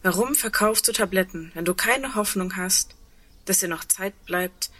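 Earnest, bright-voiced woman clinging to hope. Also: mid-range voice, normal speed. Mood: probing. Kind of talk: German radio play acting.

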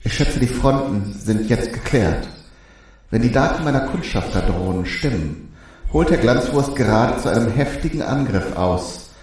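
A man's voice: mid-range voice, lively intonation, moderate pace, darkish tone, close to an everyday voice. A man playing a pompous alien envoy who records a war logbook in a formal, disdainful, theatrical way. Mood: grave, formal. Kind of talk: scripted sci-fi logbook narration. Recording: echoey, distant, faintly noisy.

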